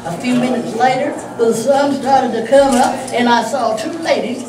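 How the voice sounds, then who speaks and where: A woman voicing a chatty village girl puppet who tells what she witnessed, in an animated, storytelling manner. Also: fairly high pitch, moderate pace, a crowd in the background, natural voice. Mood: wondering. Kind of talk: amateur church puppet show. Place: large echoey church sanctuary. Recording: distant echoey church recording with noise.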